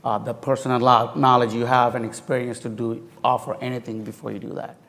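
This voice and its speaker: A man on a panel, speaking earnestly and haltingly with non-native grammar about his work with immigrants. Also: mid-range voice, level tone, a bit bright, big-room sound. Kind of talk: panel discussion. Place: university forum hall.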